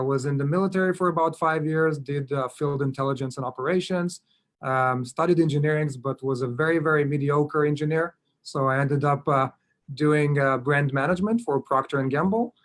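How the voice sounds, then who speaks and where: A man with an Israeli accent talks in a voice pitched a little above the usual male range. His speech is brisk and matter-of-fact, broken up by regular "uh" pauses as he steers a panel conversation. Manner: brisk and plain, with frequent filler pauses. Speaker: panelist leading the discussion. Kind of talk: podcast panel talk.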